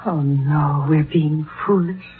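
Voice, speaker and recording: A woman's voice: low voice, fairly even intonation, slow pace. An actress playing a coy, cool-headed mistress of a married man, in theatrical 1940s radio drama style. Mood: coy, flustered. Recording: tinny, noisy old radio broadcast.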